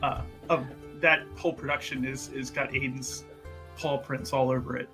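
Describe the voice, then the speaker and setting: A man's voice: mid-range voice, steady tone, moderate pace, over music, poor audio. A man taking part in a tabletop game, rambling and correcting himself as he talks. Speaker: player. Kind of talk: casual tabletop play.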